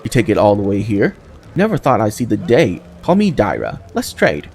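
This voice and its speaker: A man's voice: lowish voice, wildly swooping pitch, normal speed, creaky, darkish tone, a little hiss or hum, full character voice. A man voicing a brash, greedy mongrel woman trader from a fantasy game, with blunt hawker's patter. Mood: brash, hard-selling.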